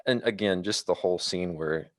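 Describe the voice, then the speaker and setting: A man talking casually about films as a podcast panelist, in a halting, rambling way. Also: low voice, dry recording, clean studio sound. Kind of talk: casual film discussion.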